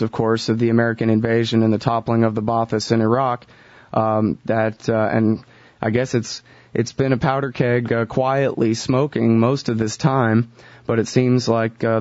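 A man's voice: medium pitch, darkish tone, no room sound. A male radio host talks casually and asks pointed questions, stumbling now and then on fillers. The sound is dry and close but a bit muffled and lo-fi. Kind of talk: radio interview.

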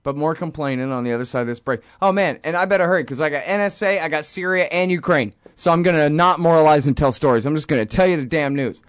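A man's voice: on the high side, quick pace, hardly any pauses, clear, resonant tone, dry recording. A man hosting a political talk show, ranting sarcastically with lots of energy. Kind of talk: political radio commentary.

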